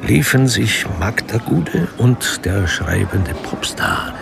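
A man narrating a crime radio drama in a measured, dry, literary manner, detached and wry. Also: on the low side, animated, vocal fry, heavily acted. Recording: music under studio narration. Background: music.

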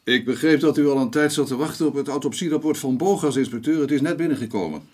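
An actor playing a dutiful, polite and efficient police colleague in a Dutch radio play. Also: male voice, mid-range voice, quick pace, darkish tone, lightly acted. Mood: businesslike.

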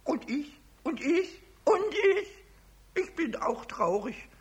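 A man voicing a cheeky, stubborn little kobold in a children's radio play, squeaky and raspy, with a whiny, insistent manner. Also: very animated, takes it slowly, heavily acted. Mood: whining, sad.

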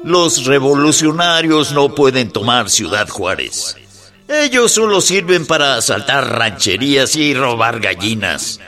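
A man's voice: medium pitch, sing-song, a little slow, bright, forceful tone, soft background music. A man playing a taunting army colonel with a Mexican accent, declaiming mockingly in a radio drama. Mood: contemptuous. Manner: mocking, oratorical.

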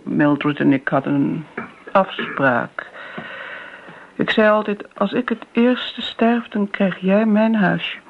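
An elderly woman with a gravelly creak in her voice, heard through a muffled, narrow old radio recording. She is a wistful, self-justifying family friend who rambles and repeats herself in a flustered way, in the style of a radio drama. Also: lowish voice, slight background noise, heavily acted. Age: older. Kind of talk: Dutch radio play acting. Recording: dull, band-limited old radio recording.

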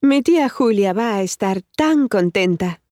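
A woman acting a friendly part in a scripted language lesson, modeling each phrase with clear enunciation. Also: mid-range voice, animated, a little slow, warm tone, no room sound, studio quality, lightly acted. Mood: friendly, expressive. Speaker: model speaker in a language course.

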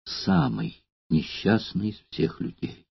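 Guy reading a book, doing a heartbroken gladiator, low husky trembly voice, kinda muffled. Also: animated, measured pace, no echo. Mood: anguished pleading. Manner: trembling, pleading, tearful. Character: anguished male gladiator in love.

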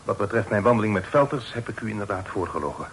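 A man's voice: on the low side, quick pace, lightly acted. A man playing an earnest, honourable young son in a Dutch radio drama, protesting in formal, respectful speech. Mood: earnest, defiant. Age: young adult. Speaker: radio play actor. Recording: faintly noisy old radio play recording.

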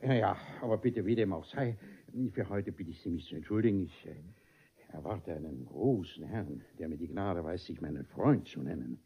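An actor in a classic comedy radio play voicing a vain, gullible middle-aged bourgeois who stammers, repeats himself and blusters. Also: male voice, low-pitched, expressive, normal speed, vocal fry, husky, dull audio, heavily acted. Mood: bashful, confiding.

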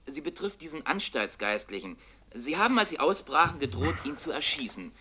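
An eager newspaper reporter in a radio crime drama. He coaxes and probes with a bright, forceful voice. His pitch moves up and down in a lively, animated way. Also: a little fast, slight background noise. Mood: coaxing, eager. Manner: probing, coaxing, hesitant starts.

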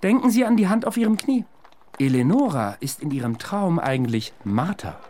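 Eccentric self-made analyst, radio drama: confident, eloquent, needling, with widely swinging intonation. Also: male voice, on the high side, big pitch swings, moderate pace, mellow, slight background noise. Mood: triumphant, insistent. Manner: eloquent, probing, emphatic. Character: confident, eccentric amateur psychoanalyst, provocative and insistent. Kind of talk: German radio play acting.

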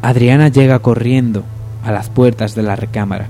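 A man's voice: mid-range voice, fairly even intonation, moderate pace, warm tone, soft background music. A man narrating a parody radio soap opera from a script.

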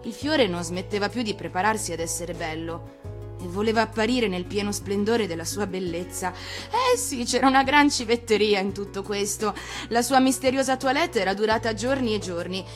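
A woman reading a fairy tale expressively, as an actress narrating a storybook for radio. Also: a little high. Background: music.

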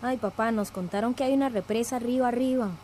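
A woman's voice: fairly high pitch, fast talker, warm tone, slight background noise, natural voice. A woman playing an earnest, determined daughter in a radio drama, plaintive and insistent. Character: earnest, determined daughter attached to her land.

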